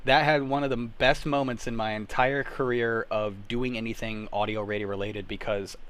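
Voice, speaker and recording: A young adult man hosting a casual livestream chat, telling anecdotes in an animated, self-deprecating way. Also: mid-range voice, normal speed, clean tone. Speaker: host of an online table-read show.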